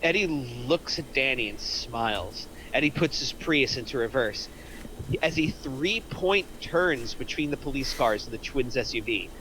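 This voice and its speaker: A man's voice: mid-range voice, a bit bright, a little hiss or hum, poor audio. A man reading out screenplay stage directions as a narrator in a scripted table read.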